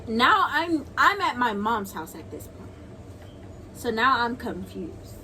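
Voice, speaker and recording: Young woman w/ kinda high voice, really slow talker, casual slangy storytime, noisy audio. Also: big pitch swings, bright, forceful tone. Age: young adult. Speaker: video creator, guest co-storyteller. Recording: clearly noisy home video recording.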